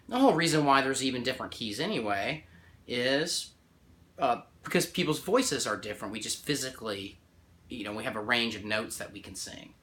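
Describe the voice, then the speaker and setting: A man's voice: medium pitch, fairly bright tone, slight background noise. A man giving a casual music theory lesson. He often checks in with "right?" and restarts or corrects himself while counting out notes. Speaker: music teacher. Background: guitar playing along.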